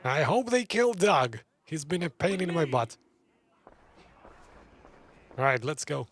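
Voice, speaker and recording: A man's voice: a little high, sing-song, slow pace, breathy edge. A man giving casual, offhand and irreverent live commentary as he plays a game. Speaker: game streamer.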